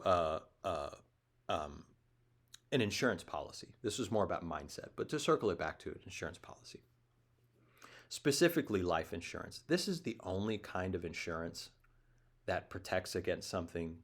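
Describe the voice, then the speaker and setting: A man's voice: low-pitched, fairly bright tone. A man in his thirties hosting his own show, talking in a casual, rambling, emphatic monologue. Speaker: show host.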